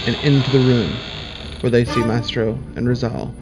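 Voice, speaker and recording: A man narrating a fan audio drama in a plain, steady voice. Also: medium pitch, moderate pace. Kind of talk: scripted narration. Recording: slightly muffled, over music.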